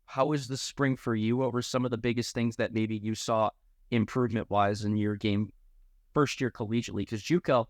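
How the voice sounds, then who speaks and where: A man's voice: lowish voice, fairly even intonation, moderate pace, no echo, studio quality. A man co-hosting a sports podcast, asking long, conversational, somewhat rambling questions. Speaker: podcast co-host and interviewer.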